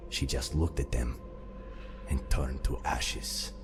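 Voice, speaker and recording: Khajiit thief for fantasy game: extremely low voice, wry and fatalistic, third-person talker, music underneath. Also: male voice, steady tone, slow pace. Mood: solemn. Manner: refers to himself in the third person. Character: imprisoned male Khajiit cat-man thief, wry and fatalistic. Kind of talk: fantasy game character dialogue.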